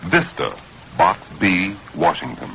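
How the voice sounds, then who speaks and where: An American man reading a scripted 1960s radio public service spot in measured, emphatic short phrases. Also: low-pitched, big pitch swings, creaky, pushed and bright. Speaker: public service announcement narrator. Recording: faintly noisy 1960s radio recording.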